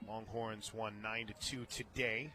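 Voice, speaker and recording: An American man doing live radio play-by-play of a softball game, chatting between pitches. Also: flat delivery, measured pace. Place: stadium radio booth, open to outdoor noise. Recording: clear outdoor ballpark noise.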